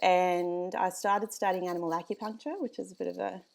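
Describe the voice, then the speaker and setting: A woman's voice: fairly low pitch, steady tone, fairly quick, gravelly, crowd noise. A woman with a South African accent, a dog breeder chatting casually as a podcast guest, with frequent ums and easy laughs.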